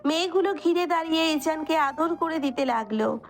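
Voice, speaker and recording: A woman narrates a romantic audio story in a high-pitched voice. She reads from a script, and music plays underneath her. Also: normal speed. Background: background music.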